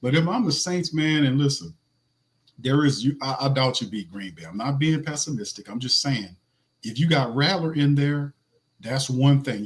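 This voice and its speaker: A man with a raspy voice hosting a sports podcast, talking loosely with slang and running through game stats. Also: medium pitch. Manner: loose, slangy, restarts a lot, reads stats. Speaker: podcast host. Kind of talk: casual sports podcast talk.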